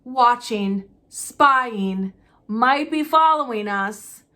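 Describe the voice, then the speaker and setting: A woman voicing a gruff, blustering middle-aged uncle in a storybook, snapping and sneering. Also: on the high side, expressive, very slow, heavily acted. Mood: agitated, firm. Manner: snapping, sneering, forceful delivery.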